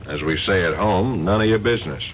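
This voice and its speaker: A man's voice: deep voice, brisk, natural voice. An actor playing a terse, defiant man under questioning, curt and dry, in a 1970s radio drama. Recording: dull, noisy old radio recording.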